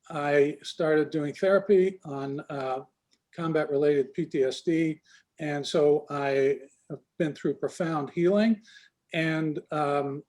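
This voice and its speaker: A man in his sixties tells his own story at a thoughtful, unhurried pace. His voice sits a little higher than most men's. He stops often to search for words, filling the gaps with soft hesitations. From Israel, raised in Canada. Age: 60s. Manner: reflective, halting with many fillers. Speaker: guest, business coach. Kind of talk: personal life story in conversation.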